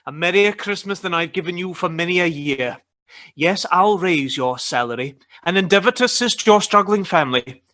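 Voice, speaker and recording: A man playing a reformed old miser in a radio play, theatrical, with deliberate dramatic pauses. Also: high-pitched, expressive, bright, forceful tone. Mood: jubilant, generous.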